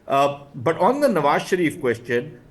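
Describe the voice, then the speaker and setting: A man's voice: a little high, very animated, moderate pace, slightly rough. A man with an Indian accent giving blunt, scornful, opinionated analysis on a panel, arguing point by point. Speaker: political commentator on a panel.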